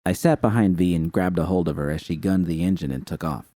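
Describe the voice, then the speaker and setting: A man narrating a first-person fan story in a steady, unhurried reading. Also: deep voice, dry recording, clean studio sound. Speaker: fan fiction reader.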